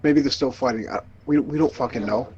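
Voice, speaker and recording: A man playing in a tabletop game, speaking in character in an unsure, halting way. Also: mid-range voice, a little mellow, muffled recording. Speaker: player. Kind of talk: in-character role-play.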